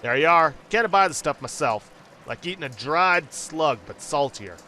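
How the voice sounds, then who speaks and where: A man's voice: on the high side, expressive, normal speed, sharp tone, a little hiss or hum. A man voicing a cheerful, joshing hobo from a video game, with folksy, jovial phrasing. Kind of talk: read-aloud game character dialogue.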